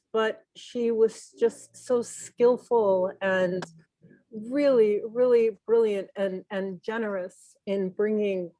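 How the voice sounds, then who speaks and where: An earnest woman from Peru speaks into a video call microphone, reflective and unhurried. Her voice sits at an ordinary pitch. The sound is dry and close. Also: mellow, no echo, rough recording. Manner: earnest, reflective, with many pauses and restarts. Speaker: journalist and consultant speaking at a memorial. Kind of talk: spoken tribute on a video call.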